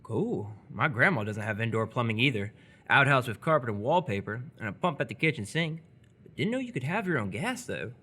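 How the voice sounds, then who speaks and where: A man's voice: medium pitch, very animated, brisk, pushed and bright. An actor playing a friendly, awkward seventeen-year-old boy in live radio theatre, talking casually and a little hesitantly. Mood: curious, chatty.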